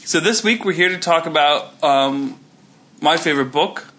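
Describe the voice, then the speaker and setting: A man's voice: on the high side, normal speed, pushed and bright. A man in his thirties co-hosting a podcast, rambling with lots of fillers and restarts. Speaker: podcast co-host. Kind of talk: casual chat.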